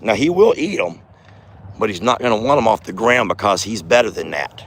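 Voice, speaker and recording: Hoarse man, folksy farm vlog. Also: on the low side, animated, brisk, slight background noise. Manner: folksy, chatty, talks softly to his bull. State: hoarse, lost his voice. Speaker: farm vlogger, channel host. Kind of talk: casual outdoor vlog. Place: outdoors on a farm by a bull pen. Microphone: handheld phone camera.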